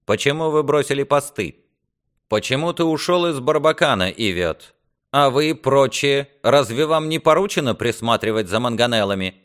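A haughty lord of a castle, acted by a male reader, hot-tempered and commanding. The voice jumps up high and rings out in indignant exclamations and sharp questions, in a storybook style. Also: animated, takes it slowly, hardly any pauses. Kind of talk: audiobook character acting.

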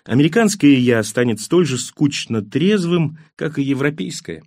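A man voicing a reflective, melancholy immigrant with dry irony and a measured, literary way of talking, in an audiobook. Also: on the high side, expressive, a little mellow, dry recording, pitched above the performer's own voice. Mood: ironic, wistful.

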